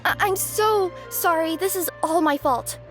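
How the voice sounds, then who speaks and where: A hurried young cat-spirit delivery girl in a very high, bright voice, tumbling over her apologies. She sounds eager and easily rattled. Game music sits behind her. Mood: flustered. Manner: breathless, apologetic, flustered. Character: flustered young cat-spirit courier woman. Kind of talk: game voice acting.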